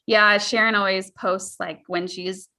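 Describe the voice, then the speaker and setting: A woman's voice: mid-range voice, steady tone, unhurried, bright, forceful tone. A young adult woman and travel therapist talking upbeat and chatty over a video call, with frequent likes. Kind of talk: casual video-call interview.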